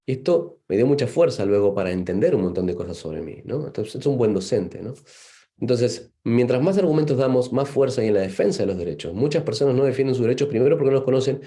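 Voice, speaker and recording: A man's voice: mid-range voice, lively intonation, fast, mellow. A man from Argentina with an Argentine accent, giving a seminar lecture as a psychologist, thoughtful and full of fillers and "¿no?" tags. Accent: Argentine Spanish.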